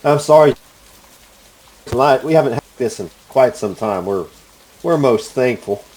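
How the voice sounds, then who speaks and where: A man's voice: medium pitch, lively intonation, unhurried, darkish tone, lightly acted, low-quality recording. A man playing a teasing army captain who speaks with exaggerated, mock politeness. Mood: mock-polite.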